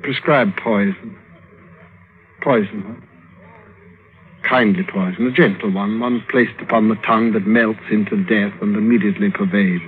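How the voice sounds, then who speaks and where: An actor playing a cruel, silky young despot prince in theatrical, commanding old radio drama style. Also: male voice, on the low side, fairly even intonation, normal speed, long pauses, close to an everyday voice. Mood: coldly scheming. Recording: tinny, hissy old radio broadcast recording.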